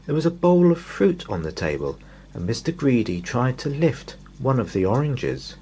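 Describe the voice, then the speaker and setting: A man reading a children's bedtime story in an expressive, dramatic way, lingering on repeated words. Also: mid-range voice, normal speed, slight background noise.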